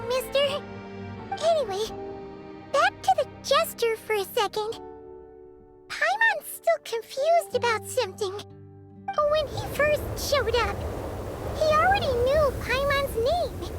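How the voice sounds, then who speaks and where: Squeaky childlike fairy girl. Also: exaggerated voice. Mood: chirpy, curious. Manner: speaks of herself in third person. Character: small childlike female fairy companion, chirpy, chatty, third-person. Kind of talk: video game character dialogue.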